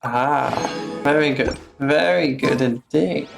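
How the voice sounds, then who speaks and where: A male game streamer talking casually and slowly, with halting phrases muttered half to himself. Game music plays clearly behind him. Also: medium pitch, rough recording. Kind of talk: casual livestream gameplay commentary.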